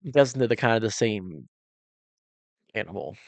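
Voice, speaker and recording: A man's voice: on the low side, expressive, normal speed, vocal fry, dry recording. A man giving casual, exclamatory reaction commentary as a cinematographer and host, thinking aloud informally while explaining technique.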